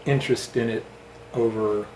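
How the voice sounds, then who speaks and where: A man's voice: mid-range voice, steady tone, normal speed, darkish tone. A middle-aged American man interviewing someone in a casual, reminiscing conversation. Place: indoor room at a home. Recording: roomy echo, faint background noise.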